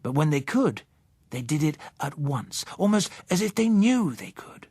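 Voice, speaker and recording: A man's voice: a little high, big pitch swings, measured pace, darkish tone, breathy edge, no room sound, pitched above the performer's own voice, full character voice. A man playing a suspicious, agitated man in an audiobook, arguing his fears with insistent emphasis. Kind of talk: audiobook character acting.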